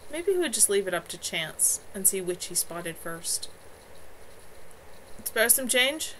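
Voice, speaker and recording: A woman reading her own novel aloud as an author on a home livestream, in an expressive amateur narration style. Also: on the low side, moderate pace, a little hiss or hum, poor audio. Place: at home, in a room with a bed.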